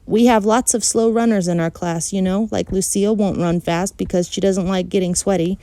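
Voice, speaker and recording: Storybook kindergarten girl, acted by a woman: self-assured, bragging, blunt about facts, mellow tone. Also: medium pitch, steady tone, normal speed, no echo, natural voice. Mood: defensive boasting. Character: boastful, matter-of-fact little kindergarten girl.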